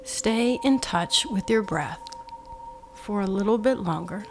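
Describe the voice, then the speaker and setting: A woman guiding a meditation with measured instructions, in short phrases that often end hushed. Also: mid-range voice, faint music behind. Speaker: meditation guide. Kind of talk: slow guided meditation, instructional.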